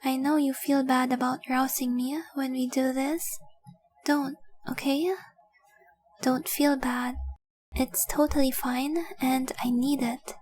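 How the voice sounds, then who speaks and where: A woman acts out a dragon-blooded young girlfriend in a romantic audio roleplay. Her voice is high and breathy, often falling to a whisper. She speaks slowly in a narrow, even melody, lingering tenderly over her words like someone adoring and guarding a treasure she wants to keep. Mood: earnest, warm. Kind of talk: intimate romantic audio roleplay, addressed to the listener.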